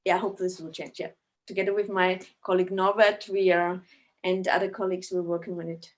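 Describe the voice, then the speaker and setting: A woman's voice: lowish voice, level tone, brisk. A middle-aged woman with an Austrian accent introducing herself informally on a video call, hesitating as she searches for English words. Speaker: Pilates trainer and association vice president. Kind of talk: informal self-introduction at an online meeting.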